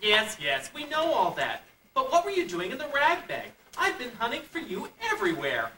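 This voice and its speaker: Woman playing a lively, echoey puppet scarecrow. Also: fairly high pitch, normal speed, slight background noise, full character voice. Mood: flustered, teasing. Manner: exclamatory, theatrical. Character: cheerful, easily muddled scarecrow who laughs at himself. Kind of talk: children's puppet play acting. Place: puppet show stage in a convention room. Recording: echoey distant camcorder recording, music behind.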